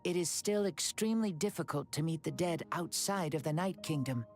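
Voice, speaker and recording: A woman voicing an ancient hero in a young boy's body, speaking formally and gently in a video game. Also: low-pitched, moderate pace. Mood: solemn, gentle. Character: ancient hero reborn as a serene young boy. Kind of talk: anime video game voice acting. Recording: faint music behind.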